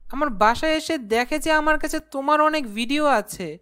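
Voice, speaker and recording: A woman's voice: high voice, normal speed, a bit bright. A woman voicing a guilty, cowardly young male blackmailer in a horror cartoon, with a pleading manner. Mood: guilty, confessing. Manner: pleading, stammering when frightened. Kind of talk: dramatic horror cartoon acting.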